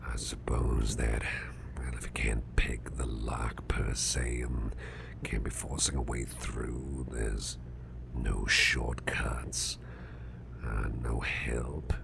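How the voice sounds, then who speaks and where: A man playing a big, muscular but softened grumpy boss in an ASMR roleplay, speaking in a gravelly, breathy near-whisper with a gentle, slightly formal manner. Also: extremely low pitch, level tone, background noise, heavily acted. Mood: calm, thoughtful. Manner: slow, murmuring, gently reassuring, slightly formal.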